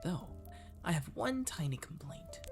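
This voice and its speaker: A man as a sweet, animated catboy waiter. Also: a little high, measured pace, over music. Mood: sweet, flirty. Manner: courtly, coaxing, gently playful. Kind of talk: flirty comfort ASMR roleplay.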